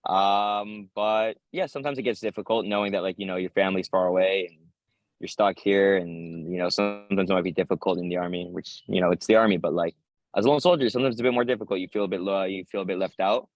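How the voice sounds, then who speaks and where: A young American soldier chatting fast and low in a close, dry interview, filling someone in on daily life. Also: male voice, steady tone, no echo, dull audio. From United States. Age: 20s. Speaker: interviewee, infantry paratrooper soldier. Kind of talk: interview, casual talk.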